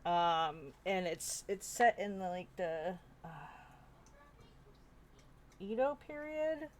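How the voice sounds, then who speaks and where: A woman in casual panel chat, speaking haltingly and correcting herself as she goes. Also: medium pitch, big pitch swings. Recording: faint background noise.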